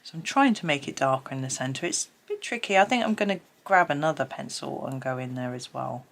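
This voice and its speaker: Low-voiced stuffy British woman chatting. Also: expressive, brisk, gravelly. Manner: chatty, rambling, many ums. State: stuffy, blocked nose. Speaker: colouring channel host. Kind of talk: casual chat while colouring.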